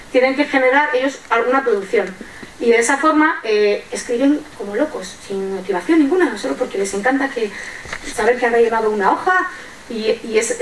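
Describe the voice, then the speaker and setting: Woman, Spain Spanish accent, slightly high voice. Colloquial teacher presentation, roomy sound with faint noise. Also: a bit bright. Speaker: primary school English teacher presenting. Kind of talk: informal conference presentation. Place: lecture room with audience, indoors. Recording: echoey room, distant, faint noise.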